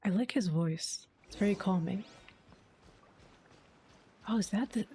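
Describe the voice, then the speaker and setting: Casual woman gamer, mid-pitched, musing aloud. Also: a little slow, mellow, slight background noise. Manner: reactive, musing aloud in questions. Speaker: game streamer. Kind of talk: casual live gameplay commentary.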